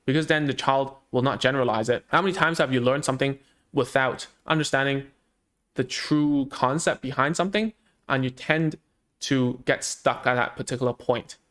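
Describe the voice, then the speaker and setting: A man's voice: medium pitch, normal speed, a bit bright. Casual man explaining child language. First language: Cantonese. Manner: conversational, many fillers and restarts. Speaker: speech therapist. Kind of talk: casual explanatory talk to parents.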